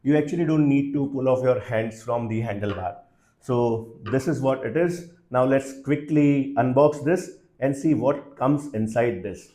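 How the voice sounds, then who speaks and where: Mellow Indian man doing an unboxing. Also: mid-range voice, extremely slow. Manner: switches freely between two languages mid-sentence. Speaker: host of a product unboxing channel. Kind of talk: casual unboxing and first-impressions commentary, improvised.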